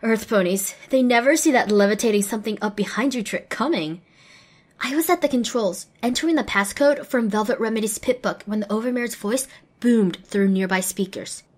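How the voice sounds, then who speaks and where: Lady as a young pony girl narrating audio drama, wry n determined, bit raspy. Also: a little high, fairly quick, close to an everyday voice. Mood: wry, tense. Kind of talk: scripted first-person narration in audio drama.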